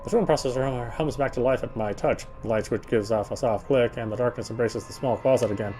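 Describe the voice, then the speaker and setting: A man's voice: mid-range voice, fast, dark tone, soft background music. A man narrating a visual novel aloud, sometimes stumbling over a line and repeating words. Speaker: game streamer reading a visual novel aloud. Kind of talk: narration read aloud with side comments.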